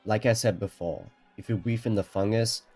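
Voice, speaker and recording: A man with a fairly deep voice delivers scripted explainer narration. He lays things out in an orderly, matter-of-fact way. Soft background music runs beneath his words. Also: steady tone, normal speed, husky. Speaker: narrator of a ranking video.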